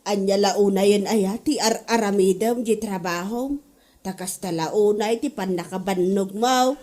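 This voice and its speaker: A woman's voice: medium pitch, measured pace, darkish tone, lightly acted. Woman playing caring, advising mother. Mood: concerned. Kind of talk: comedy audio drama acting.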